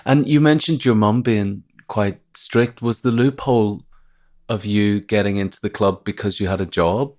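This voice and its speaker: A man's voice: lowish voice, moderate pace, darkish tone, no room sound, studio quality. A man with a Northern Irish accent hosting a podcast, introducing and interviewing a guest. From Northern Ireland. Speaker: podcast host.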